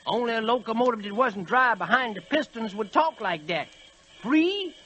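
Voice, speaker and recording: A man's voice: very high voice, expressive, moderate pace, sharp tone, slight background noise, exaggerated voice. A man playing a folksy, weary old freight locomotive with an American Southern accent, in a 1940s radio play. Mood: indignant. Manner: folksy, rambling dialect talk.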